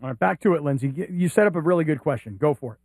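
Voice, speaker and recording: A man's voice: on the high side, expressive, rapid-fire, a little mellow, no room sound. A man co-hosting a sports talk show in a wry, teasing way. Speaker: sports talk radio co-host.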